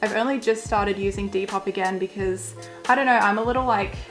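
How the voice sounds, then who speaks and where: A young woman, chatting casually through a vlog in a croaky voice that is wearing thin, with plenty of filler words. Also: mid-range voice, fairly even intonation, fairly quick, soft background music. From Australia. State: croaky, losing her voice. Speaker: vlogger. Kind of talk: casual walkthrough.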